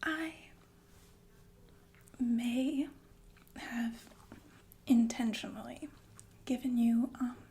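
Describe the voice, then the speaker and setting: A woman playing a sinister, falsely soothing killer nurse in an ASMR roleplay, speaking in a genteel, deliberate breathy whisper with murmured asides. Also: on the high side, dark tone. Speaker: ASMR performer.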